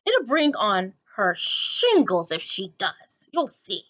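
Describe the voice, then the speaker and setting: A fretful, doting elderly neighbor from a storybook, voiced by a woman reader. Her speech is slow and animated, rising and dipping widely. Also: medium pitch, heavily acted, low-quality recording. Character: Miss Forcible, fussy, fond elderly lady neighbor.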